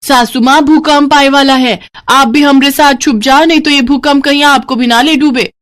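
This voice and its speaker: A woman plays a naive young village bride in an animated moral tale. Her voice is high and excitable, with a rustic eastern Hindi accent. She exclaims in wide-eyed earnest. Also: moderate pace. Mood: alarmed. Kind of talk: animated moral story character voice.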